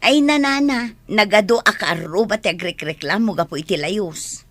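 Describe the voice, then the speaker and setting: A woman's voice: medium pitch, animated, normal speed, a bit bright. A woman with an Ilocano accent playing a gossipy, teasing village friend in a comedy radio drama. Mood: concerned.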